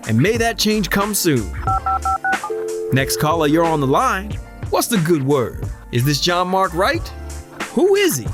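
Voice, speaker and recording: Flashy call-in radio DJ played by an actor, slangy and smooth, very animated delivery, music bed. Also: mid-range voice, a little slow, long pauses. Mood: upbeat, intrigued. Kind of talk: radio call-in show patter in an audio drama. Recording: music under the voice.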